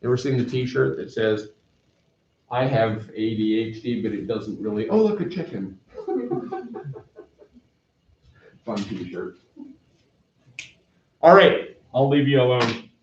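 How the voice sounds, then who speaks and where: A man's voice: mid-range voice, animated, slow pace, long pauses, a little hiss or hum. A middle-aged man from Canada, a math teacher chatting casually and rambling to his class in a classroom, recorded by a camera across the room. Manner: rambling, self-deprecating, chatty. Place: classroom with whiteboard. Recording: roomy, dull classroom recording.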